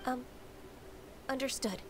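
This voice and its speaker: An actress playing a gentle, earnest young woman in divine training who speaks softly and hesitantly. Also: sits high, slow pace, background noise. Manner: soft-spoken and hesitant. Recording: game audio with music behind. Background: music.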